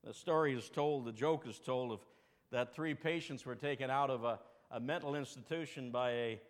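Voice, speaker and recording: A man's voice: medium pitch, normal speed. A male pastor preaching a sermon, telling folksy stories and jokes with rambling asides. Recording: long reverb of a large hall.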